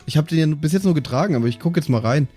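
A man's voice: fairly high pitch, animated, very fast, mellow, husky, soft background music. A man improvising casual, flippant banter as a player in a tabletop game. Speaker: player in a tabletop role-playing podcast.

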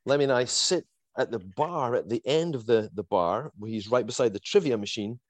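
Animated Scottish man telling a story. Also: mid-range voice, moderate pace, no room sound. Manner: animated, swears, laughs while telling, acts out quotes. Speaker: guest fan telling a story. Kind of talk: casual storytelling chat.

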